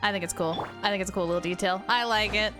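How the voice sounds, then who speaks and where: A woman streams a game and chats casually with her audience. She has a medium-pitched voice and an excitable, gushing delivery. Faint game music runs behind her. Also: fast. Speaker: game livestreamer. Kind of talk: casual live stream commentary and chat.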